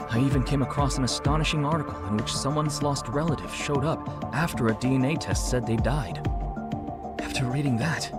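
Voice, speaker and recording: A man's voice: medium pitch, lightly acted. A man playing a courteous, formal middle-aged chief executive and widower in an English-dubbed video game drama. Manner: measured, formal, articulate. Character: polished, courteous male CEO and grieving widower. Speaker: company executive, client.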